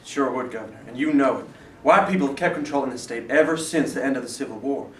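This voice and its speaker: Man acting fast, blunt hardline political aide. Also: mid-range voice, close to an everyday voice. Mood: resentful. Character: hardline bigoted governor's aide, blunt, stubborn, resentful man. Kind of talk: staged play reading. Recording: roomy, distant mic, faint noise.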